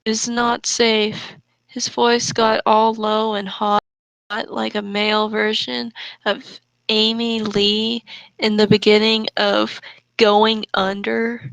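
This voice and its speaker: A woman with a bright, highish voice reads aloud for comedy. Her delivery is slow and deliberate, halting now and then for mocking asides. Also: flat delivery, gravelly, clear, resonant tone, no echo, rough recording. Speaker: co-host reading and mocking fan fiction. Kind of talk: casual comedic reading aloud.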